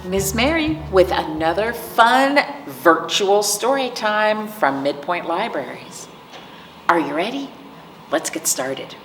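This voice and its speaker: A woman hosting storytime, reading picture books aloud to young children with simple explanations and questions. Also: medium pitch. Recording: roomy, distant mic, faint noise.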